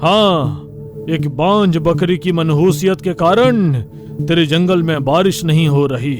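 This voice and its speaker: Theatrical jungle exorcist, male performer, animated fable, highish voice, portentous declamation. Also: measured pace, music in the background, close to an everyday voice. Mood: ominous. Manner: declamatory, ominous. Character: theatrical village witch-doctor holy man, ominous chanter.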